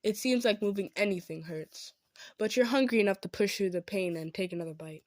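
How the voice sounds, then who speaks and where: Woman reading story narration, soft and measured, mid pitch, real dry close recording. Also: no room sound. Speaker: narrator and performer of roleplay audio. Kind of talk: scripted second-person story narration.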